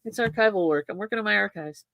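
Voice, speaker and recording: An older woman in her sixties co-hosts a relaxed chat, bouncing catchphrases back and forth and laughing easily. Her voice sits at an ordinary pitch and is recorded dry, with no room sound around it. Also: quick pace, creaky, dry recording, poor audio. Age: 60s. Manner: repeats catchphrases, laughs often, playful banter. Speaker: co-host. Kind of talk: casual chat. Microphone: desk microphone.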